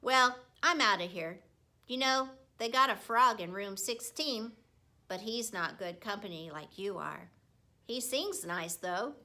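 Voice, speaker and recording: Chummy male janitor for a children's story, voiced by a woman; folksy, exclamatory, bright and forceful. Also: medium pitch, animated, a little slow. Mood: fond. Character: friendly, hearty male school janitor, big-hearted and chatty. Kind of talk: children's book read aloud.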